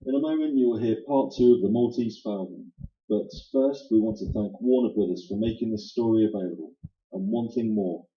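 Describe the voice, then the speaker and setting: A man with a low, very dark voice works as a vintage radio announcer. He delivers sponsor copy with polished salesmanship. The sound is echoey. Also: brisk. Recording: muffled sound in echoey room.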